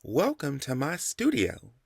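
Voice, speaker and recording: A man voices a petite, welcoming woman artist with a slight accent in an audiobook. She speaks slowly and softly, pitched above the reader's own low voice yet keeping his gravelly grain. The recording is dry. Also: huge pitch swings, no room sound, exaggerated voice. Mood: gentle. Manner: slow, soft, gracious.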